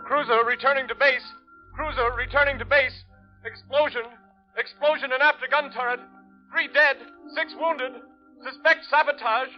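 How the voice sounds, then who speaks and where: Excited radio operator, very high voice, clear music behind, muffled old sound. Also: male voice, normal speed. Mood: urgent. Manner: clipped, shouting reports.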